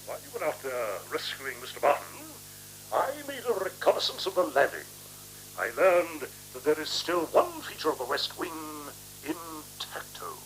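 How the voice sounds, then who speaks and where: A man's voice: on the high side, very animated, moderate pace, a bit bright, rough recording. A male actor in a radio drama playing a menacing, sardonic leader who speaks in clipped, commanding, mock-military formality. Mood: cold command. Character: menacing, sardonic leader interrogating and condemning a traitor. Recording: 1970s radio recording with faint hum.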